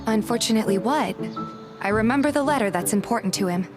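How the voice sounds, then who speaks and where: A woman's voice: a little high, animated, fast talker, over music, close to an everyday voice. A woman playing a feisty, suspicious young woman who narrates her own story in a game fan dub. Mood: curious, suspicious. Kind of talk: scripted first-person narration and game dialogue, fan dub.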